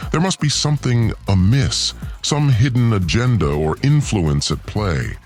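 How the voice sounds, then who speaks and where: A man's voice: on the low side, expressive, unhurried, soft background music. A man playing a vengeful, disdainful god lord in an audio drama, delivering a formal, pompous and menacing villain monologue. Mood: indignant, scornful. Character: vengeful, disdainful male god lord plotting against humans.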